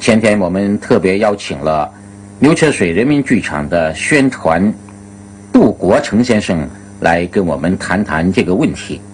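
A man presenting on radio in a formal, scripted announcing style with measured rhetorical delivery. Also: low voice, expressive, a little hiss or hum. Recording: archive radio broadcast with music.

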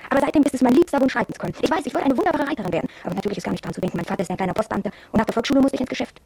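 Husky, fast, headstrong shopgirl. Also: on the high side, animated, a little mellow, dry recording. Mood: confiding, defiant. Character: headstrong, proud young shop apprentice girl, cool and yearning. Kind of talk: radio drama first-person monologue.

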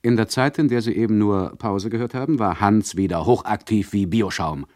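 Low-voiced, condescending radio play narrator man acting. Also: normal speed, darkish tone, lightly acted. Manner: sardonic, addresses listeners directly, mock-patient. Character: condescending, chatty male narrator who mocks his listeners.

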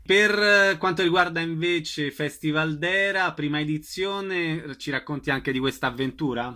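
Bright-voiced male interviewer. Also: fairly high pitch, normal speed. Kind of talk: interview questions.

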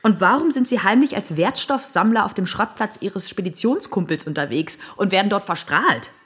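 A persistent police detective in a radio drama. Her voice is husky and moves animatedly as she presses her questions, sceptical and direct. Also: mid-range voice, lively intonation, normal speed, fairly bright tone. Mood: pressing, insistent.

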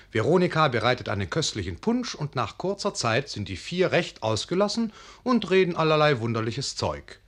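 A man's voice: mid-range voice, a bit bright. A man narrating a literary fairy tale in a scripted, storytelling style for a radio play.

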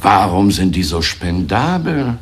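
An actor playing a menacing, sneering secret police officer and racist bully in a German radio drama, mocking and given to barking orders. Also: male voice, low voice, very animated, a little slow, breathy edge, exaggerated voice. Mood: pressuring, threatening. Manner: mocking, sneering, barks orders when angered.

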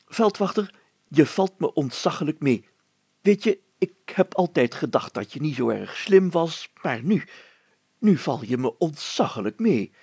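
Man putting on a pompous high-pitched mayor. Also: very animated, unhurried, a little mellow, breathy edge, no echo, heavily acted. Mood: effusive, self-important. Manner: grandiose, declaiming. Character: pompous, excitable middle-aged male mayor, theatrical and effusive.

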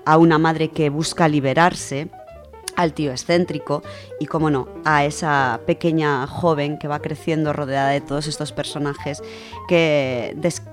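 A woman's voice: deep voice, steady tone, unhurried. A woman with an accent from Spain, speaking enthusiastically as a radio contributor in a conversational book segment. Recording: music under the voice. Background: background music.

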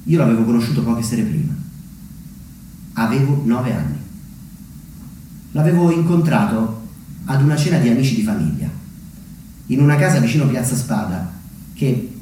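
A man from Umbria in Italy performing a reflective theatrical monologue as a solo storyteller, measured and pausing for weight. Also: mid-range voice, dark tone, slight background noise. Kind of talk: theatrical monologue, narrated memoir. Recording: very echoey, distant mic, music underneath.

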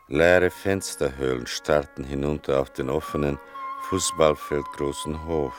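An actor playing a grave son who narrates his mother's wartime story in a deliberate, restrained radio-play style. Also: rumbling low, exaggerated voice. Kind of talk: slow, measured literary narration in a radio play.

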